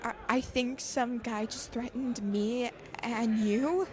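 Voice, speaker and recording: A woman acting a shaken, nervous young woman in a naturalistic audio drama, halting and stammering. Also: fairly high pitch, steady tone, darkish tone. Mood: shaken, rattled.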